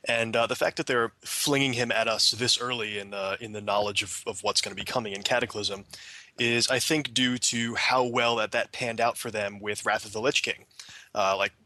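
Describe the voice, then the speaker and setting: A man in a casual gaming podcast discussion, giving his view in hesitant, halting phrases. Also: fairly low pitch, steady tone, pushed and bright, no echo. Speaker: podcast panelist.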